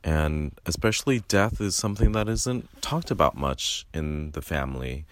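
A man co-hosting a podcast, reflecting thoughtfully with pauses. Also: extremely low pitch, lively intonation, no room sound. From United States. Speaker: podcast co-host and filmmaker.

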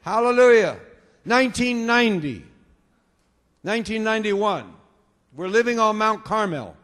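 A man from the United States preaching a sermon, swinging between quiet talk and loud bursts of fervor with repeated hallelujahs. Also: sits high, expressive, measured pace, in a large hall. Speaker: pastor.

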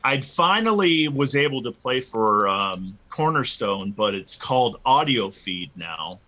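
A man's voice: medium pitch, very animated, unhurried, bright, forceful tone. A man of fifty-one speaking casually and earnestly as an interview guest, feeling his way through his phrases. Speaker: interview guest, metal band musician.

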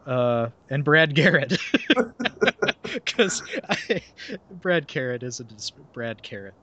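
A man's voice: mid-range voice, animated, slow pace, pushed and bright, muffled recording. A young man hosting a podcast, chatting casually and reading a summary aloud with sarcastic asides, often laughing mid-sentence. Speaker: podcast host.

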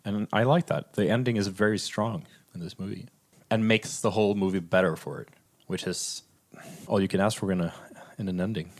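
A man's voice: low-pitched, a little fast, a little mellow. A man talking informally and conversationally as a podcast co-host in a casual film discussion.

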